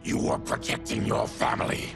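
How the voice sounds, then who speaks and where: An actor voicing a gruff, honorable mutant warrior in a video game, with a rasping, solemn and forceful delivery. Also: male voice, moderate pace, loud music behind the voice, lightly acted. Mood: grave, resolute. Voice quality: gravelly, rasping. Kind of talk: dramatic video game cutscene acting.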